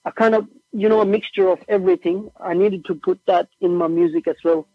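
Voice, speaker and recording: A young man from Norway whose first language is Norwegian, chatting casually as a musician guest on a remote call, relaxed and slangy. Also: high voice, fairly quick, dry recording. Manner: relaxed, slangy, says "bro" and "like" often. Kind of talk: casual interview chat. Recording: tinny phone-like remote line.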